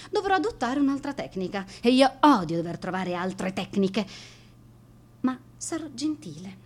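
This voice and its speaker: A woman's voice: mid-range voice, a bit bright. An actress in a radio monologue playing a strict, spiteful schoolteacher, sarcastic and given to answering her own questions. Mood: scheming. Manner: sarcastic, asking and answering herself. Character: bitter, spiteful, strict female schoolteacher, obsessed and vindictive. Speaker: radio drama actor.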